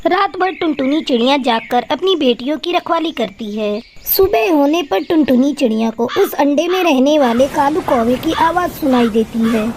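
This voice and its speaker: A woman's voice: high voice, expressive, moderate pace, hardly any pauses, a little mellow. Woman narrating a children's story, noisy background.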